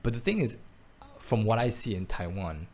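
A man's voice: on the low side, animated, moderate pace, darkish tone, slight background noise. A man raised in Belgium, chatting casually and often restarting his words. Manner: hesitant, frequent restarts and repeated words. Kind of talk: casual chat. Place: his home, lives in Taiwan.

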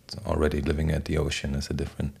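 A man in his forties with a German accent, giving halting, reflective answers as an interview guest, often searching for words. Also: very deep voice, completely flat delivery, vocal fry, a little mellow. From Berlin, Germany. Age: 40s. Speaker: podcast guest, DJ.

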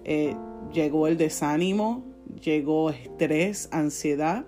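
A young woman with a Puerto Rican accent speaks in a soft-edged, mellow voice. She chats casually and cheerfully in a testimonial style, with gentle guitar music playing faintly behind her. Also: low-pitched, takes it slowly. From born in Puerto Rico, raised in the United States. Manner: cheerful, given to laughing. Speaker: podcast co-host, church worship leader.

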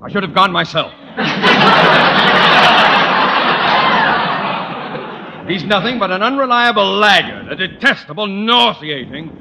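An actor playing a pompous, irascible middle-aged school principal with a theatrical, blustering delivery in an old radio comedy. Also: male voice, sits high, animated, a little slow, vocal fry, exaggerated voice. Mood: exasperated, blustering. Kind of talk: 1940s-50s radio comedy acting. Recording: muffled, noisy old radio recording.

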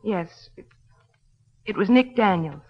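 A woman's voice: mid-range voice, expressive, takes it slowly, breathy edge. An actress playing a desperate, defensive woman suspected of murder in an old radio drama, pleading and indignant. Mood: anxious confiding. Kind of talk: 1940s-50s radio drama acting. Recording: muffled old radio, long reverb.

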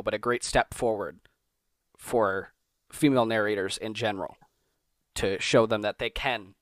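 A man's voice: fairly low pitch, a bit bright, clean tone, dry recording, studio quality. A man hosting a podcast interview, talking in long, rambling, enthusiastic stretches. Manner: rambling, enthusiastic, repeats himself. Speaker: podcast host.

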